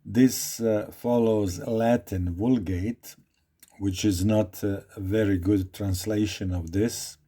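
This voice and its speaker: A man's voice: lowish voice, fairly even intonation, takes it slowly, mellow, husky. A man with a Croatian accent, a native Croatian speaker, giving an informal Bible study talk, reading verses aloud and repeating phrases as he explains them. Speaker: Bible teacher.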